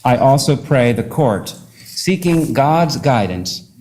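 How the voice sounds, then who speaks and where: A man, a pastor, reading a formal character statement to a court in a measured, earnest way. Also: mid-range voice, very animated, darkish tone, rough recording.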